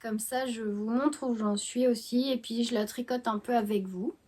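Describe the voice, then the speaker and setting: A woman with a fairly high voice, stuffy and congested from a head cold. She talks to her viewers in a relaxed, hesitant way, stopping and restarting with plenty of fillers as she chats about her knitting at home. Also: moderate pace. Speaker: knitting vlogger. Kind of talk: casual chat to camera.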